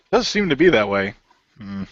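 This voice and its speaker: A man's voice: medium pitch, no room sound, muffled recording. A man playing a puzzled, easygoing middle-aged man in an amateur radio play, speaking casually and hesitantly.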